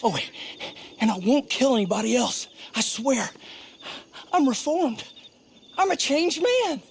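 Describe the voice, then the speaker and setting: An actor playing a desperate captured Old West criminal, gravelly, pleading and scheming in a video game. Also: male voice, very high-pitched, big pitch swings, measured pace, a little hiss or hum, exaggerated voice.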